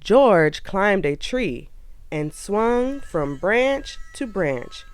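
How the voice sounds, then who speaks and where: A woman narrating a children's storybook aloud in an expressive, animated way. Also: mid-range voice, extremely slow, faint music behind.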